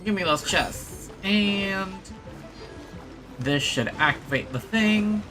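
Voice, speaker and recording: A woman gaming streamer commenting casually as she plays, often talking to herself. Her delivery is slowish with big swings in pitch, and game music plays clearly behind her. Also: animated. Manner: talks to self and to the game.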